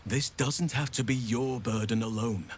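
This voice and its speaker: A man playing an earnest druid in a fantasy video game, grave yet gentle. His speech is measured and formal, at a middling pitch, with noise behind it. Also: lightly acted. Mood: solemn, formal.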